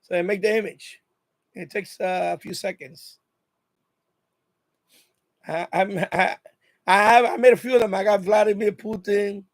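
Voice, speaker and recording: High-pitched man chatting on a livestream. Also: steady tone, unhurried, long pauses, no echo. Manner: rambling, repeats himself. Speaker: livestream host. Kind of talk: casual live chat with viewers.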